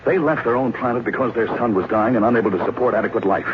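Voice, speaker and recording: A man's voice: mid-range voice, quick pace, natural voice. Guy playing serious grim hero in old radio horror, dramatic delivery, lots of hiss. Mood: grim, reasoning. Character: grim, reasoning man narrating his alien encounter. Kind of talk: 1950s radio drama acting and narration. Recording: noisy, muffled old radio recording.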